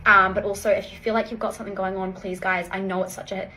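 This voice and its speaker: A woman's voice: medium pitch, flat delivery, quick pace, sharp tone, a little hiss or hum, rough recording. A woman chatting to viewers with casual, emphatic self-improvement advice, addressing them directly.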